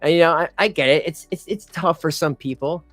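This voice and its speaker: A man delivering sarcastic reaction commentary, mocking and lecturing his viewers and calling them folks. His voice sits a little high for a man, and it sounds dry and close. Faint music runs underneath. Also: fairly quick, fairly bright tone, no echo. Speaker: commentary streamer.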